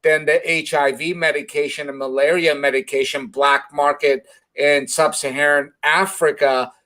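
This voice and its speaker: A man, a doctor hosting a show, gives informal advice in a blunt, encouraging and somewhat halting manner. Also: fairly high pitch, level tone, pushed and bright.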